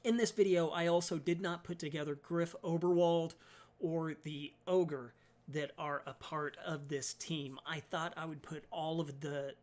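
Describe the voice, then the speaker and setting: A chatty man walks viewers through building tabletop miniatures, his voice a touch higher than most men's. Allergies leave him slightly raspy and softer than usual. He fills his sentences with ums and uhs. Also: fairly even intonation, measured pace. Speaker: miniatures hobby YouTuber. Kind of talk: casual hobby tutorial.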